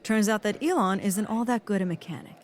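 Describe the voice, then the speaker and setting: A woman playing a blunt, deadpan agent. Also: mid-range voice, a little fast, close to an everyday voice. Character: surly blunt female agent Mackenzie. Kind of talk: audio comedy drama.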